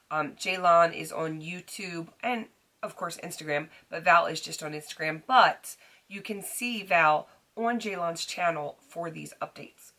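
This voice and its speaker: Middle-aged woman, raspy and recovering hoarse, low voice with a sharp edge; casual beauty-vlog chatter, lots of ums. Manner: chatty with frequent ums and restarts. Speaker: beauty vlogger. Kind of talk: casual product chat to camera.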